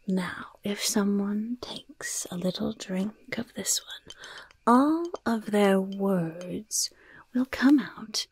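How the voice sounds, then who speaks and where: A woman voicing a mischievous goblin shopkeeper in a whispered ASMR roleplay, with sly, gleefully polite sales patter in a breathy, gravelly voice. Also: mid-range voice, a little mellow, heavily acted, poor audio. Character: mischievous goblin shopkeeper selling poisons and cursed items.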